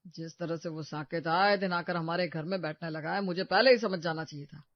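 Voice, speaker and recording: A woman's voice: on the low side, brisk, no echo, natural voice. A woman doing a harsh, suspicious husband. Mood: accusing. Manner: scolding, accusing. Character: angry abusive husband, grown man, suspicious and harsh. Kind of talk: cartoon story voice acting. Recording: dull lo-fi dry recording.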